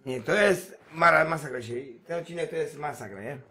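A man's voice: on the high side, fairly quick, sharp tone. A man in his thirties giving casual, sweary, self-deprecating live commentary while playing a video game. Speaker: gaming streamer. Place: indoor home streaming room. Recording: faint background noise from game. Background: faint game ambience.

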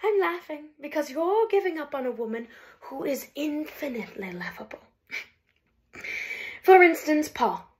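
A woman acting out a heartbroken wife refusing a divorce, near tears and swinging between hushed whispers and loud outbursts. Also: sits high, full character voice. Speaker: actress performing a monologue. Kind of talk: comic stage monologue.

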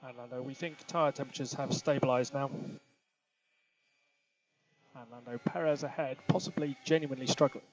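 A man's voice: medium pitch, fairly even intonation, normal speed, pauses often, lo-fi sound. A man working as a race engineer, giving clipped, procedural instructions to a driver over a team radio link. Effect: team radio filter. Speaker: Formula One race engineer. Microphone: radio headset. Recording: noisy motorsport radio link.

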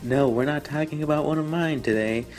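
A man hosting a review show, reading aloud and commenting with mock-indignant, deadpan sarcasm. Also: fairly high pitch, brisk, faint music behind. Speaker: host of a fan fiction review show.

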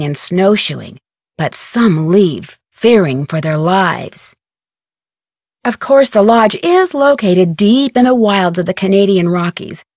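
A woman's voice: medium pitch, a little mellow, no echo, studio quality. A woman voicing a plucky, inquisitive young amateur detective with an American accent in an adventure game, chipper and conversational. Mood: inviting, intriguing. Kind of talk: scripted adventure game dialogue.

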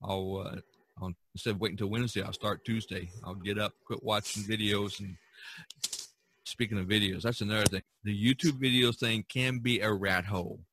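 A man's voice: lowish voice, steady tone, a little fast, fairly bright tone, slight background noise. A man hosting a casual podcast about art, talking in a rambling, chuckling way. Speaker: podcast host and painter.

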